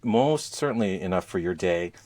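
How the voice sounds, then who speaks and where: A man co-hosting a podcast, chatting casually about gadgets in a halting way as he searches for words. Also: low voice, animated, a little mellow. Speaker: podcast co-host.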